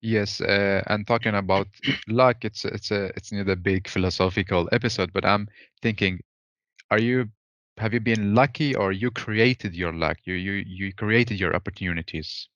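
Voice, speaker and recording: A man's voice: fairly low pitch, steady tone, moderate pace, fairly bright tone, no echo, dull audio. A man hosting a podcast interview, asking short probing questions. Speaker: podcast host.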